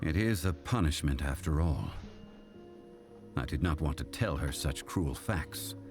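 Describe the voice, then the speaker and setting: An actor playing a calm, stately ancient male god who speaks in a formal, measured, solemn way. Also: low-pitched. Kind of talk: game voice acting.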